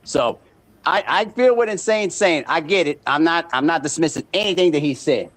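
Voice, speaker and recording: A man's voice: on the high side, expressive, normal speed, a bit bright. A man in his thirties trash-talking in casual banter over a remote call, boastful, and he repeats his words a lot. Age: 30s.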